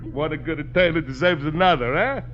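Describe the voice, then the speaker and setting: An actor playing a gleeful, conniving Italian immigrant who wheedles in broken English with an Italian accent in a radio comedy. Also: male voice, fairly high pitch, lightly acted. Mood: gleeful scheming. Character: scheming, gleeful Italian immigrant man, conniving false friend. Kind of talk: 1950s radio comedy acting. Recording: noisy, dull old radio recording.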